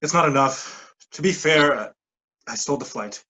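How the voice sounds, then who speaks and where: An actor playing a defensive, evasive recruiter who wants out, with a husky voice and pleading manner, in a staged play reading. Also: male voice, on the high side, moderate pace, bright, forceful tone, lo-fi sound.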